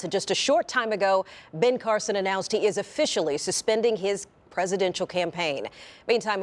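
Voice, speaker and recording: A woman anchors a television newscast in an American accent. Her voice sits at a middle pitch as she reads reports and interviews guests in a broadcast register. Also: a little mellow. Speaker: news anchor. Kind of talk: TV news reading and interviewing.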